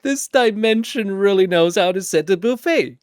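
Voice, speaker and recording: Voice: mid-range voice, animated, a little mellow, clear, resonant tone, no echo, studio quality, voice pushed up, close to an everyday voice. A narrator voicing a gleeful, mad dealmaking triangle demon in a theatrical, taunting story performance. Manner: theatrical, taunting, drawn-out words.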